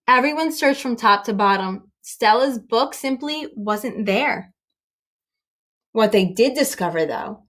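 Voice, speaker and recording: A woman's voice: a little high, fairly bright tone. A woman reading a children's story aloud expressively and emphatically, as if to young listeners. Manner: emphatic, acts out dialogue, pauses to address young listeners.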